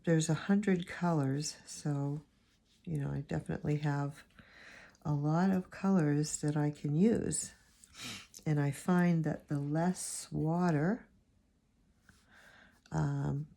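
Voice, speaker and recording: A woman with a low, gravelly voice, hoarse and raspy from allergies, talks through a watercolor tutorial. Her tone is dark and mellow. She rambles and thinks aloud as she paints, into a phone camera. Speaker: watercolor teacher.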